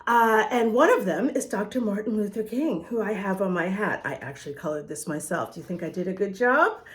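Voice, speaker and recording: A woman reading a picture book aloud to young children as a storytime host, expressive and often speaking to them directly with questions. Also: medium pitch, brisk.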